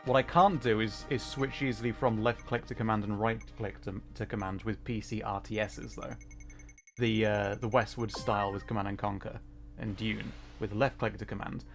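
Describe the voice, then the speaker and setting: A man's voice: lowish voice, fairly even intonation, moderate pace. A man giving casual gaming livestream commentary, rambling and thinking aloud as he plays. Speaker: livestream host, gamer. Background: music.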